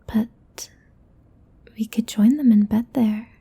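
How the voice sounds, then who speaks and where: A woman voicing a playful, possessive demon in a soft, breathy, coaxing whisper for an ASMR roleplay. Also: on the high side, steady tone, heavily acted. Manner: slow, coaxing, teasing.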